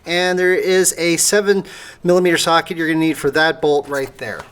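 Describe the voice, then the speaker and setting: A woman's voice: low-pitched, fairly even intonation, moderate pace. A woman presenting an informal step-by-step repair tutorial, talking casually while working with her hands. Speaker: presenter of a how-to car repair video. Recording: clearly noisy, clicking tool sounds.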